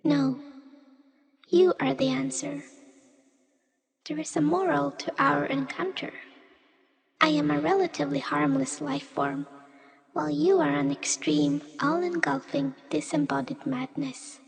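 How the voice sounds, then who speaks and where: A woman's voice: high-pitched, steady tone, a little slow, creaky, pauses often. A woman playing a weary, cryptic otherworldly small creature in a poetic radio play, speaking in solemn riddles. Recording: long cavernous reverb.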